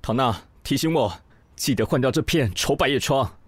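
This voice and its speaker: A man's voice: mid-range voice, normal speed, breathy edge, dry recording, close to an everyday voice. A man playing a fussy, petulant magazine boss who despises ugliness, in a radio drama. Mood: sullen, disdainful. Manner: melodramatic, petulant.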